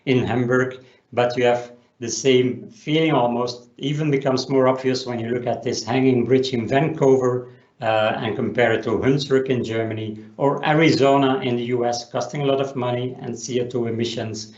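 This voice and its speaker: A man gives an explanatory business webinar presentation, often pausing on an uh. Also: mid-range voice, fairly even intonation, a little mellow. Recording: roomy echo, dull lo-fi sound.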